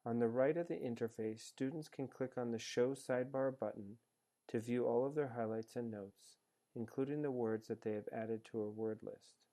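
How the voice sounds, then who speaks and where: A man reading a scripted software tutorial in a measured, explanatory manner as a voice-over. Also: mid-range voice, steady tone. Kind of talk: scripted instructional narration. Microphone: screencast voice-over.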